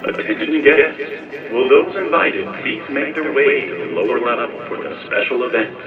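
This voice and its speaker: A man's voice: mid-range voice, lively intonation, moderate pace, recorded from a distance. Tinny echoing man as a public-address announcer. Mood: formal. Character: formal male public-address event announcer. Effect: loudspeaker filter. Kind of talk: announcement in audio drama. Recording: tinny echoing loudspeaker from afar, music.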